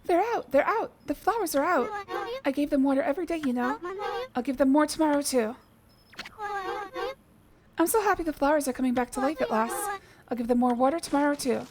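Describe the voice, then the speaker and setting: A woman voicing an excitable village woman who gushes with joy. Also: high-pitched, fairly quick, long pauses, noisy background. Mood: overjoyed. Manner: gushing, excited. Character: cheerful village woman overjoyed at flowers. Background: game music.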